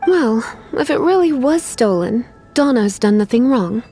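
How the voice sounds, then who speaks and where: A woman's voice: fairly high pitch, lively intonation, measured pace. A woman voicing a teasing, self-assured librarian in a video game, coy and theatrical with a sweetly menacing edge. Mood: sternly menacing. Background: faint music.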